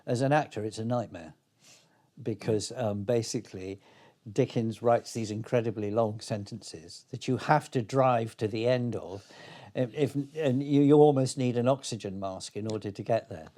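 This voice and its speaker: Older guy getting interviewed, mid-range voice, pauses to think, pretty enthusiastic. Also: medium pitch, warm tone. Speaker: interview guest, playwright and actor. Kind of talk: reflective interview conversation.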